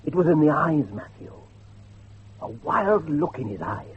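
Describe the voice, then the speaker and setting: An actor playing a grave, foreboding friend in a horror audio drama, speaking in an ominous, emphatic and dramatic way. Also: male voice, a little high, sing-song, unhurried, slight background noise. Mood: grave warning. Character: grave, foreboding male friend who warns of doom. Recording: dull, muffled lo-fi drama recording.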